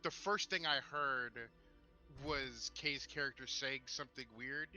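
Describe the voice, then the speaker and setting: A man co-hosting a gaming livestream, talking informally and haltingly, with the odd swear word. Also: mid-range voice, sharp tone, soft background music. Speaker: co-host of a tabletop role-playing talk stream. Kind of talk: casual livestream chat.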